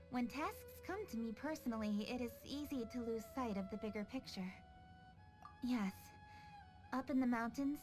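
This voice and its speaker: A woman playing an earnest, dutiful young noblewoman in an anime-style game, speaking in a measured, thoughtful and composed way. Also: fairly high pitch, a little mellow, lightly acted. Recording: music under the voice.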